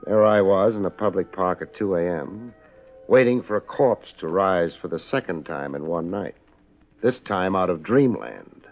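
A muffled old radio recording with music behind a hard-boiled American private eye. His voice is low, and he tells his story in a wry, unhurried, tough manner. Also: expressive. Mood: wry, weary. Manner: laid-back, wry, hard-boiled delivery. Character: laid-back, wry, tough male private investigator. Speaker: lead actor and narrator. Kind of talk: 1950s radio detective drama acting.

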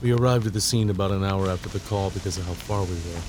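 A composed male investigator played by a man with a fairly low voice. He tells his story in terse, matter-of-fact audio drama narration, over faint ambient scene noise. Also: fast talker, natural voice. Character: composed male federal agent investigating a warehouse blast. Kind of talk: first-person audio drama narration and dialogue.

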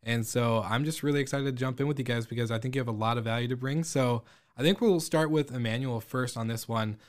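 A man's voice: medium pitch, quick pace. A man hosting a hospitality podcast, welcoming guests in an upbeat, chatty way. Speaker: podcast host. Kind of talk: casual interview hosting.

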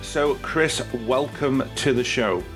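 A man's voice: mid-range voice, lively intonation, slow, over music. A man hosting a business podcast as a performance coach, reading a polished intro and then chatting with his guest in an upbeat way. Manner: upbeat, polished greeting, warm encouragement. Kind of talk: scripted intro then casual interview.

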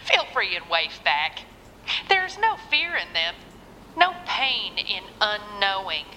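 Bright, gracious Southern preacher's wife. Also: female voice, mid-range voice, big pitch swings, takes it slowly, big-room sound, a little hiss or hum, heavily acted, low-quality recording. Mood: serenely devout. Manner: gentle, formal, scripture-quoting. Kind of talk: audio drama acting.

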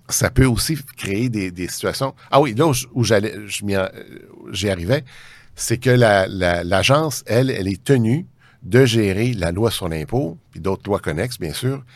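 A man's voice: lowish voice, no room sound. A man with a Canadian French accent explaining things off the cuff as an interview guest, often circling back mid-sentence. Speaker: tax ombudsman interviewed as guest. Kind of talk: unscripted explanatory interview talk.

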